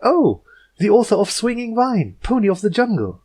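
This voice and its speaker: Storybook role: young mare princess played by a man, high-pitched, bubbly, keen to please. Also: huge pitch swings, normal speed, a little mellow, exaggerated voice. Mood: bright, polite. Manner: vivacious, eagerly polite. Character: giddy, eager young female pony princess.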